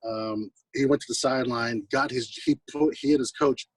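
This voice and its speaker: American man, mid-pitched husky voice, slangy casual anecdotes, laid-back guest, recorded a little off the mic. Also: steady tone, moderate pace, no room sound, recorded from a distance, rough recording. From US West Coast and Hawaii. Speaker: guest, singer-songwriter musician. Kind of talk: casual chat, anecdotal storytelling.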